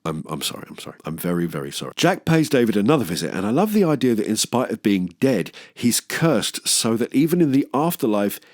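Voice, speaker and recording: A man's voice: fairly low pitch, big pitch swings, fairly quick, few pauses, darkish tone, dry recording, studio quality. A man hosting a film review, delivering scripted commentary with wry, enthusiastic jokey asides. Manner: enthusiastic, wry, breaks into chuckles.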